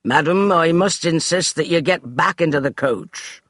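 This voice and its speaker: A man voicing a tall, stern butler who speaks in rasping, forbidding tones. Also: on the high side, a little slow, a bit bright, dry recording, clean studio sound, voice pushed up. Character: tall stern male butler guarding an earl's door.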